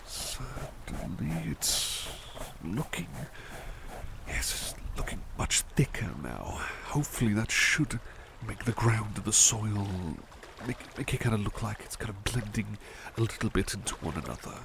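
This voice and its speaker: A man playing a devoted, tender butler in an ASMR roleplay, murmuring softly and a little hesitantly to the listener. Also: on the low side, big pitch swings, measured pace, gravelly, background noise, heavily acted. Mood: gentle, absorbed. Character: devoted, tender male butler who loves painting.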